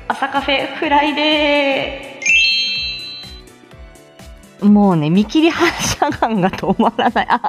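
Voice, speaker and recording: A woman hosting a casual morning livestream, chatty, flustered and giggly. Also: high voice. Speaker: livestream host. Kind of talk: casual morning live chat.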